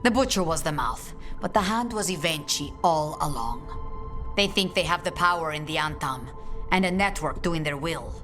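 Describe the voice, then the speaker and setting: An actress playing a resolute, solemn leader of an assassin guild in a video game, speaking in a measured, commanding way. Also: female voice, low-pitched, expressive, music in the background. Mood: solemn resolve.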